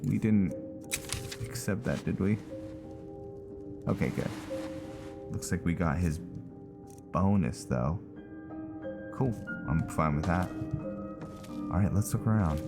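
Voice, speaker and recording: A man with a very deep voice hosts a casual let's-play. He speaks slowly and in a laid-back way, thinking aloud as he plays, with game music clearly audible behind him. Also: lively intonation. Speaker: gaming host, roleplaying player.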